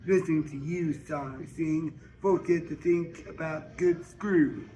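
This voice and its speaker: A man voicing a bold, bawdy woman singer in an audiobook, with a frank and forceful manner. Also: fairly high pitch, slow, soft background music, pitched above the performer's own voice, rough recording. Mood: teasing. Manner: forceful, frank, teasing, coarse. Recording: faint background noise.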